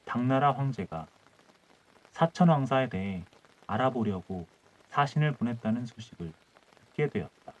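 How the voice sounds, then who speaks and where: A man's voice: mid-range voice, expressive, moderate pace, long pauses, poor audio. A man narrating a scripted historical folktale as an audiobook storyteller.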